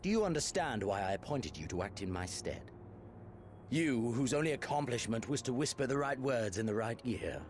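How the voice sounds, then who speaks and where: A man playing a cold, menacing imperial prince in a fantasy video game, speaking with deliberate, contemptuous authority. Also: medium pitch, sing-song, a little hiss or hum, close to an everyday voice. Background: music.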